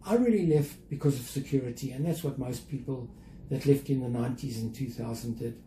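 An older man with a South African accent, talking reflectively and carefully as an interview guest, with measured, hesitant phrasing. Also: mid-range voice, mellow. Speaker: interview guest, museum chief executive. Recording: roomy echo, faint background noise.

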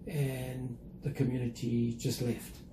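An older man with a South African accent, talking reflectively and carefully as an interview guest, with measured, hesitant phrasing. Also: mid-range voice, little pitch movement. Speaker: interview guest, museum chief executive. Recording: roomy echo, faint background noise.

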